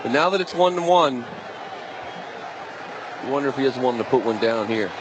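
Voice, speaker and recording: A man's voice: mid-range voice, animated, normal speed, crowd noise. A man giving conversational baseball color commentary on a live broadcast, colloquial and fond of posing questions. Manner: colloquial, poses questions, talks directly to listener. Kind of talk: conversational live sports analysis. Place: ballpark broadcast booth. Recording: noisy live stadium broadcast. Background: clear stadium ambience.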